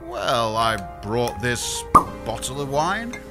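A man's voice: mid-range voice, sing-song, slow pace, over loud music. A man playing a bashful, apologetic space engineer who stammers awkwardly in a sci-fi audio comedy.

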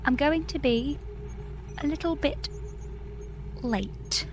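A woman's voice: high-pitched, slow pace. A woman playing an exasperated, pleading space engineer with a dry, emphatic manner in a comedy audio drama. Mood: uneasy.

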